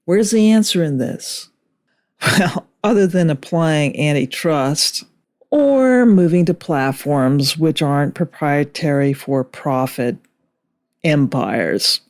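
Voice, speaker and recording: Woman reading out a commentary script, really slow and measured, kinda low voice. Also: lively intonation, a little mellow. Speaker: video commentator. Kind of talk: scripted political commentary narration.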